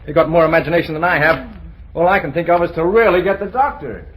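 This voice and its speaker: A man's voice: on the high side, quick pace, a bit bright, slightly rough, low-quality recording. An actor playing a fretful, slangy, exasperated young man in an old radio comedy. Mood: dejected, worried. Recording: old radio drama recording.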